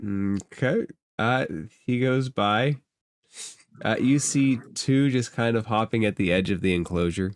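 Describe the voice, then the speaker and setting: A man narrating a tabletop game as game master in a halting, informal, conversational way. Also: on the low side, no room sound, studio quality.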